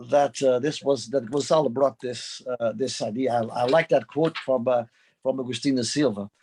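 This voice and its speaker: An older man from Portugal offers his thoughts as a panelist. His voice is hoarse and rough around a medium pitch. He talks deliberately and reflectively, without a script. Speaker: panelist, retired Portuguese teacher. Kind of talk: unscripted panel talk.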